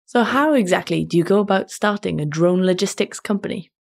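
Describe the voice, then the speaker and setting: A woman hosting a podcast, reading scripted narration in a smooth, measured way. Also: fairly low pitch. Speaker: podcast host. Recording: music bed underneath.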